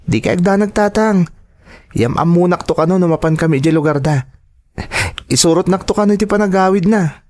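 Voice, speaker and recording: A man's voice: sits high, fairly quick, pauses often, a little mellow, lightly acted. Man acting as an eager young Ilocano son. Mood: reassuring. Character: eager, trusting adult son planning to work abroad. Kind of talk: Ilocano radio drama acting.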